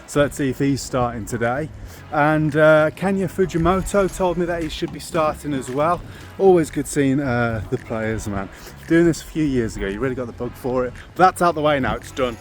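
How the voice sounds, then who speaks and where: Rambling young Brummie football fan. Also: male voice, medium pitch. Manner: chatty, rambling, self-mocking. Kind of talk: casual vlog to camera. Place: outdoors around a football stadium.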